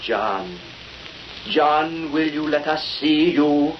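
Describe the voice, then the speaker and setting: An actor playing a fake spirit medium in an old radio drama, intoning in a theatrical, portentous manner. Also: male voice, a little high, expressive, very slow. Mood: solemn. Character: sham medium conducting a seance, solemn and theatrical. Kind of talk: 1930s-40s radio acting. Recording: hissy, muffled old radio recording.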